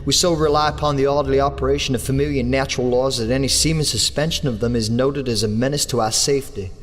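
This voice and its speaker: An English man reading a horror story aloud as an audiobook narrator, in a measured storytelling manner. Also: steady tone. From England. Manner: measured storytelling, lifts his pitch for characters' lines. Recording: long hall reverb with soft music under.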